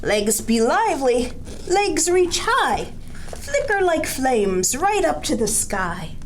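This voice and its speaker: A woman hosting a children's storytime, reading picture-book verse and giving simple yoga instructions in a playful, drawn-out, sing-song way. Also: high-pitched, sing-song, slight background noise. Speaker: children's storytime host leading bedtime yoga. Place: indoors, small draped space, lying on the floor.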